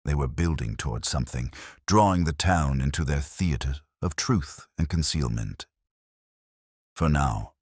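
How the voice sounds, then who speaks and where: Male narrator for a mystery tale. Extremely deep, measured and literary, recorded very dry. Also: darkish tone, dry recording. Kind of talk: scripted mystery story narration.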